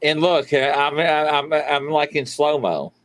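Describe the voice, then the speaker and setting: A man's voice: fairly high pitch, steady tone, takes it slowly, a bit bright, no echo. A man speaking casually and sounding puzzled as he describes something strange, using "like" often. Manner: puzzled, storytelling, many "like"s. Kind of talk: casual chat.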